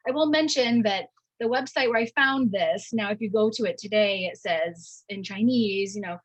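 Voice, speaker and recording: A woman giving an informal academic lecture, speaking haltingly and often checking in with "right?". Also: mid-range voice, no echo, dull audio. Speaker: university professor giving a lecture.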